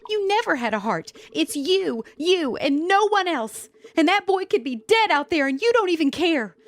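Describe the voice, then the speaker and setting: An actress playing a frightened, bitter, middle-aged wife with a pleading, emotional delivery in an old-time radio horror drama. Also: female voice, very high-pitched, very animated, moderate pace, exaggerated voice. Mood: bitter, angry.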